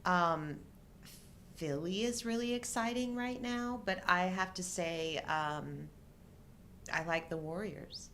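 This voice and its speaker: A woman's voice: lowish voice, slow, a bit bright, slight background noise. A middle-aged woman chatting casually as co-host of a live show. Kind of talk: casual chat.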